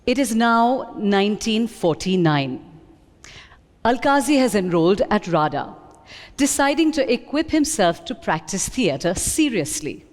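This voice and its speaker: A woman reading a prepared synopsis aloud at a live event, in measured, clear phrases. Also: mid-range voice, big pitch swings. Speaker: reader at a book event. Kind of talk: scripted synopsis reading to an audience. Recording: long hall reverb.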